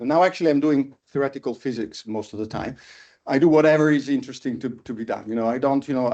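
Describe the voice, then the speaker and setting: Halting Italian professor, dull lo-fi sound. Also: male voice, mid-range voice, a little mellow. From Italy. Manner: halting, repeats words as he thinks. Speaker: professor giving a seminar. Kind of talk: informal academic seminar talk. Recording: dull, lo-fi lecture audio.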